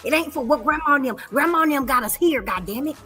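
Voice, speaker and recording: A woman's voice: high-pitched, lively intonation, fast talker, sharp tone, soft background music. Emphatic, profane woman in her forties. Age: 40s. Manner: emphatic, profane, repeats phrases for stress. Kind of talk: heated casual debate.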